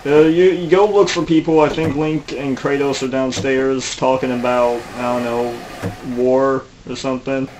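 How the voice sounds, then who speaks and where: A man's voice: mid-range voice, fairly even intonation, moderate pace. A man in a middle voice narrates his homemade comedy video over game footage. He rambles and mocks himself, and he fills the gaps with "uh" and "I don't know". Faint noise sits behind him on a desktop mic. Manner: rambling and self-mocking, with frequent fillers.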